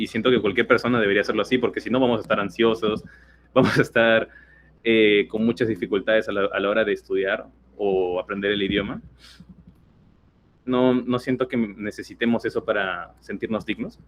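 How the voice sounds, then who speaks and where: A teenage man from Peru with a Peruvian accent, giving a webinar talk in an explanatory way with many fillers and restarts. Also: on the low side. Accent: Peruvian Spanish. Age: teens. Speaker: guest speaker.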